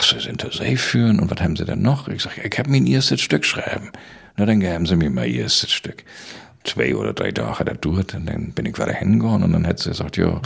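Gravelly deep-voiced northern German man. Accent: northern German, Low German. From northern Germany, Baltic coast. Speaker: interview guest, theatre writer and dramaturge. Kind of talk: anecdotal storytelling in interview.

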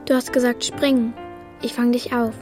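A woman's voice: on the high side, level tone, normal speed, over music, lightly acted. A woman playing a tender young child in a radio play, speaking in simple, short, earnest phrases. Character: young child writing a tender letter to Grandma.

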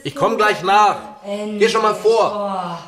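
A man's voice: high voice, fairly even intonation, slow, crowd noise. An actor playing a casual, flirting man at a club bar in radio play dialogue. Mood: offhand.

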